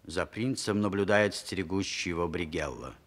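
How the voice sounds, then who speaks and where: A man's voice: sits low, animated, moderate pace. A man narrating a scripted radio play as its storyteller. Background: music.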